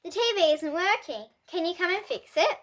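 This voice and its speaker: A young girl voicing excitable, emphatic young boys in a children's storybook. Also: very high voice, brisk, pitched above the performer's own voice. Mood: worried. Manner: exclaiming, emphatic.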